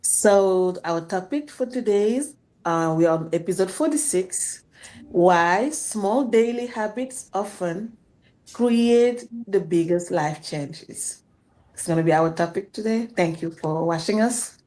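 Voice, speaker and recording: A woman from Senegal, a panelist, speaking carefully and in a measured way. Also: medium pitch, a little mellow, poor audio.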